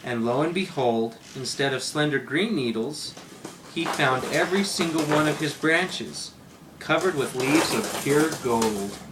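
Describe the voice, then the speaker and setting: A man reads a children's tale aloud in an expressive storybook manner. His pitch swings in a lively way. The homemade recording is heavily noisy, with clicks. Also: a little slow. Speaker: story reader.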